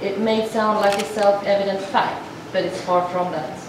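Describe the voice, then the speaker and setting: A woman's voice: medium pitch, steady tone. Woman interpreter in an echoing hall. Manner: measured, with frequent halting restarts. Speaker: sign language interpreter voicing a speech. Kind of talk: formal address to an assembly, interpreted aloud. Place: large assembly hall. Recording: echoey hall from far off, noisy.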